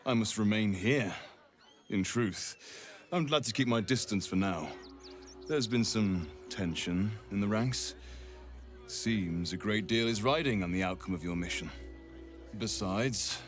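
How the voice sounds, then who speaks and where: A man playing a cool, businesslike merchant of a cult in a video game, measured and dismissive. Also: lowish voice, lightly acted. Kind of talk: video game character dialogue.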